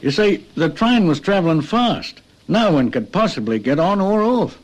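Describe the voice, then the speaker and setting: An actor playing a plain-spoken railway guard in a radio drama. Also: male voice, high-pitched, very animated, moderate pace. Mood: puzzled.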